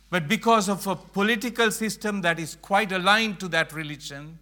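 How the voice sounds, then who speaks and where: A middle-aged man from Sri Lanka speaks to a church gathering in an earnest, emphatic way. His voice sits high and sounds bright and forceful. He slows down and leans on key phrases. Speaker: mission speaker. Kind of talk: church presentation.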